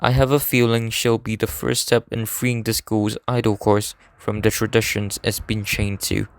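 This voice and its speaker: A man acts as a serious teenage idol student in an anime fan dub. His voice is smooth and clear in a dry, close recording. He explains things earnestly, in formal, measured sentences. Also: on the low side, flat delivery, dry recording. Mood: hopeful, determined. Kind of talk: scripted anime game fan dub acting.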